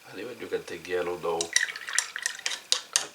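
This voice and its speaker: Low-voiced hoarse man, non-native English. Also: takes it slowly. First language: not English. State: hoarse from a cold, voice giving out. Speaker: painting tutorial host. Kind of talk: instructional commentary while demonstrating. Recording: clearly noisy recording.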